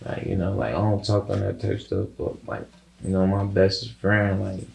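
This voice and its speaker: A man's voice: low voice, sing-song, normal speed, creaky, darkish tone, poor audio. A young man with a Chicago accent talking casually as an interviewed rapper, rambling with plenty of slang and swearing. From Chicago, USA.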